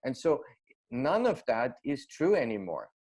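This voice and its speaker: A man's voice: mid-range voice, wildly swooping pitch, unhurried, darkish tone, husky. Austrian guy being interviewed, thick accent, lots of uhs and restarts. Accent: Austrian German. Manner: hesitant, many fillers and restarts. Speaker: guest. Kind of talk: casual interview.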